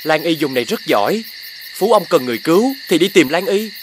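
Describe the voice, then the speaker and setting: A man playing a poor, kind young woodcutter in an animated folk tale, speaking modestly, politely and a little hesitantly. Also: fairly high pitch, brisk, background noise. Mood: humble.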